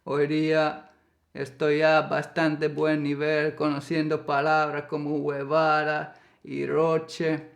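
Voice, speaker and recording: Guy, slow flat careful spanish, gravelly, echoey room. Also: on the high side. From Finland. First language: Finnish. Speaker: co-host of a couple's channel. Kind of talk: casual storytelling vlog.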